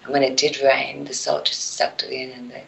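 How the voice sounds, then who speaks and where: A woman with a remarkably deep, gravelly voice, talking as a guest on an internet radio show. She stresses her points firmly and then lets her voice fade almost to a whisper. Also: level tone, a little fast, rough recording. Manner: emphatic, trails off into near whispers. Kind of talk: casual internet radio chat.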